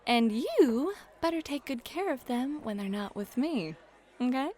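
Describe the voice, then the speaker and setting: A woman playing a playful, flirty club hostess and game master in an ASMR roleplay, bubbly, teasing and expressive. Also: sits high, unhurried, exaggerated voice. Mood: casual, cheerful. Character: playful, flirty club hostess running tabletop adventure games. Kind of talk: scripted ASMR roleplay, acted. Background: background music.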